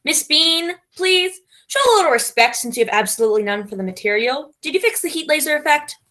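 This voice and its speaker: A woman acts out a self-important lady playwright with an imperious streak. Her fairly high voice rises and falls dramatically, with emphatic, grandiose phrasing suited to broad stage comedy. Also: expressive, a little fast, bright, forceful tone. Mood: exasperated, demanding. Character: pompous, bossy, self-styled genius female radio playwright. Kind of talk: theatrical stage comedy acting.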